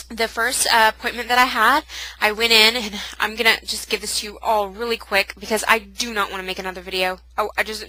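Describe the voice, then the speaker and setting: A woman's voice: mid-range voice, pushed and bright, rough recording. A young woman with a cold, sounding nasal and sniffly, chatting in a casual, self-mocking home vlog. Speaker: vlogger. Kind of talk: casual pregnancy update vlog.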